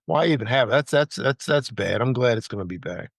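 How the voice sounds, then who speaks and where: Man, American accent, medium pitch, casual podcast co-host, chatty delivery, dry studio-like sound with no echo. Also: animated, brisk, creaky. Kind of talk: casual chat.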